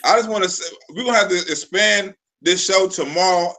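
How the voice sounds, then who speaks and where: High-voiced man in his forties, loose and profane. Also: brisk, sharp tone. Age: 40s. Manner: loose, profane storytelling with repeated restarts. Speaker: live show host. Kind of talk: casual live-stream chat.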